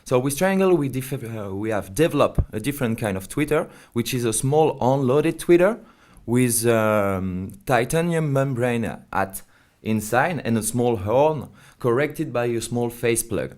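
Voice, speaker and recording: A man's voice: medium pitch, lively intonation, a little slow, a little mellow. Man explaining a product. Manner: hesitant non-native English phrasing. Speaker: company representative being interviewed.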